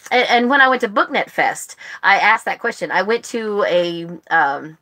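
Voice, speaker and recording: Bright-voiced American woman chatting casually. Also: mid-range voice, lively intonation, moderate pace. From United States. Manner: chatty and rambling. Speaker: host of a book club live stream. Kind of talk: casual chat. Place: live stream, speaking to viewers online.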